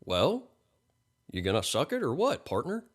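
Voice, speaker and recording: A man's voice: mid-range voice, big pitch swings, a little slow. A man voicing a friendly, pushy, folksy middle-aged stranger in an audiobook story, with a funny accent. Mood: coaxing, brazen. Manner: folksy, chummy, coaxing.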